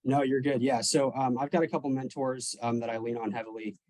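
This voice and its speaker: A man in his twenties, a real estate agent, answering interview questions over a video call, relaxed, with frequent ums and restarts. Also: medium pitch, brisk, dry recording, rough recording. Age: 20s.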